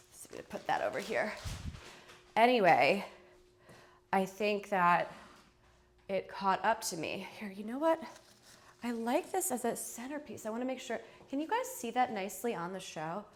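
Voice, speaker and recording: A woman in her thirties hosts a casual live shopping stream, sounding nasal and stuffed up from a head cold. She chats in an easy, joking way and pokes fun at herself as she goes. Her pitch sits in a middle range for a woman. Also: a little slow, pauses often. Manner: chatty, jokey, self-teasing, lively swings in pitch and loudness.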